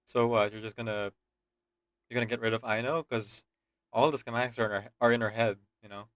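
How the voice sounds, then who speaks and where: A man's voice: mid-range voice, fairly even intonation, a little fast, no room sound. A man streaming a game with casual commentary, tossing out offhand, muttered asides and swearing. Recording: game music loud behind voice.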